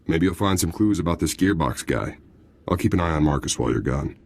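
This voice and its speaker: An actor playing an easygoing, loyal military officer in a video game, chatty and candid in an informal way. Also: male voice, very deep voice, lively intonation, fairly quick, creaky, a little hiss or hum, exaggerated voice. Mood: friendly. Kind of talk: video game character dialogue.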